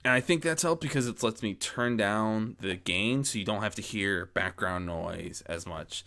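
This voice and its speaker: A man talking casually and reflectively to his followers as an amateur story narrator, rambling and correcting himself as he goes, close on a boom-arm mic. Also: normal speed, sharp tone. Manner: rambling, self-correcting, frequent false starts. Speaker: amateur audio story narrator and channel host. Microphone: close mic on boom arm with pop guard.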